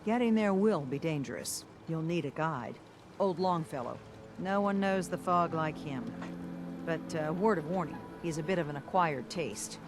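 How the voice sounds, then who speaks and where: A woman playing a weary but firm, diplomatic leader of a harbor town in video game dialogue, plain-spoken and measured. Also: low voice, expressive, noisy background. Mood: advising, wry.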